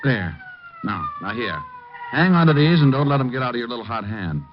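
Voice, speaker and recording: An actor playing a cool, flirtatious private detective who also narrates in the first person, in a 1950s radio drama with a dry, wry delivery. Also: male voice, mid-range voice, big pitch swings, brisk, slight background noise, lightly acted. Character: wisecracking male private eye, cool and flirtatious. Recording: dull old radio broadcast with music.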